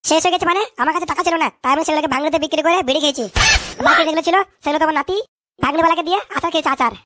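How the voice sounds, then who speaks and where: High, rapid-fire hot-headed cartoon tough guy acted out. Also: no room sound, studio quality. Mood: defensive. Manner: blustering, excitable, repeats phrases. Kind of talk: comic dialect cartoon dubbing.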